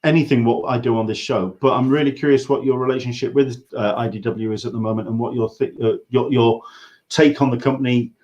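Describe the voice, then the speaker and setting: An English man hosting a casual livestream interview, talking in a rambling, halting way and often interrupting himself. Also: mid-range voice, steady tone, darkish tone, muffled recording. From England. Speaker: interview show host.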